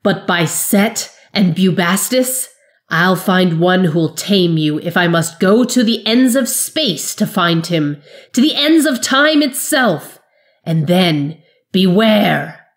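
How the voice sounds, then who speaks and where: A woman's voice: lowish voice, expressive, takes it slowly. A woman voicing a vengeful male wizard whose voice quavers with fury. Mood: furious.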